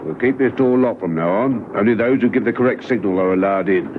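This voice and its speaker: A man plays a businesslike villain's henchman with a fairly low voice. It is a spy radio drama with a thin, phone-like sound and faint noise. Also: quick pace, lightly acted. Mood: controlled, menacing. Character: businesslike male villainous henchman aboard a train.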